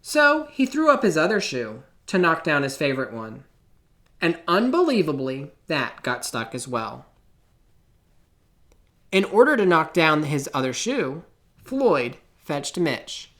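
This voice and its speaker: A man reading a children's picture book aloud in an expressive, theatrical way, with deliberate pauses. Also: on the high side. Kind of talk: children's storybook read-aloud.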